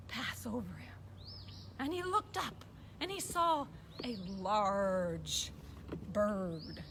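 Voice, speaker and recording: Female puppet-show storyteller: animated, high-pitched, unhurried, noisy live recording. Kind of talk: animated children's story narration.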